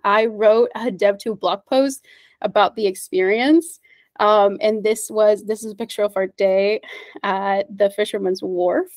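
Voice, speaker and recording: A woman's voice: mid-range voice, fairly even intonation, a little slow, darkish tone, no room sound. A woman whose first language is Spanish, presenting at an online meetup. She is upbeat and friendly, with frequent fillers and small laughs. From United States. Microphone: video call.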